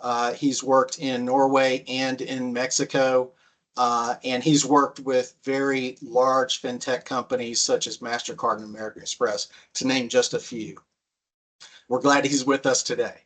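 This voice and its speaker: Brisk male webinar host. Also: medium pitch, flat delivery, fairly bright tone. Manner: friendly, brisk, many "um" fillers. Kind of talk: webinar introduction.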